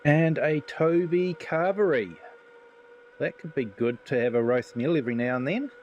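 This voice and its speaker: A man's voice: fairly high pitch, normal speed, darkish tone, muffled recording, slight background noise. A man with a New Zealand accent streaming a farming game, chatting casually and reading out viewer comments. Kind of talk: casual live gameplay commentary.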